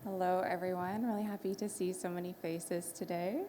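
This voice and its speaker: A woman's voice: lowish voice, steady tone, brisk, mellow. A woman with a Canadian accent, giving thoughtful prepared remarks as a panelist. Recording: faint background noise.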